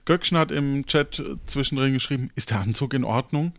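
Man with mid-pitched voice, dry sound. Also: a little fast, no echo. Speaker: podcast host. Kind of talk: casual chat, explaining game rules and relaying comments.

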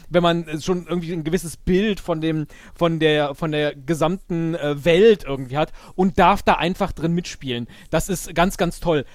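A man chatting casually as a podcast guest, with an animated, self-mocking and rambling way of talking. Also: on the high side, dry recording. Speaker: podcast guest, improv audio-play player. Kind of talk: casual chat.

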